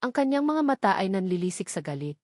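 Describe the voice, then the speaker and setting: A woman's voice: mid-range voice, sing-song, quick pace, darkish tone, dry recording. Woman narrating a dramatic story, close and dry. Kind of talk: scripted first-person dramatic narration.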